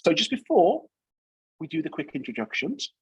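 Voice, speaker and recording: Chatty, husky British man hosting a livestream. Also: on the high side, wildly swooping pitch, normal speed. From United Kingdom. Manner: chatty, rambling, upbeat. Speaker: host of a coaching community livestream.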